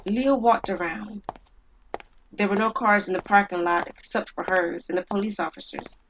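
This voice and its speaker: A woman's voice: medium pitch, fairly even intonation, moderate pace, background noise. A woman storyteller narrating a scripted dramatic tale. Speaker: storyteller, writer and performer.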